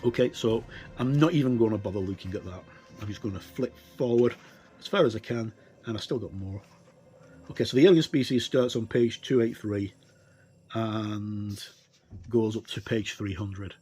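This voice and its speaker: A middle-aged or older man giving a casual, unscripted look through a book as a tabletop game reviewer, in a rambling, hesitant way with many pauses. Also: on the low side, a little mellow. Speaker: reviewer and presenter of tabletop games. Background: ambient music with wind sounds.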